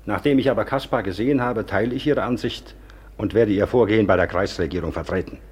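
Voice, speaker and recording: A man playing a dignified, decisive high official in a radio drama, with a formal and authoritative manner. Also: fast talker, a little mellow, slightly rough. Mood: grave, pondering. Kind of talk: German radio drama acting.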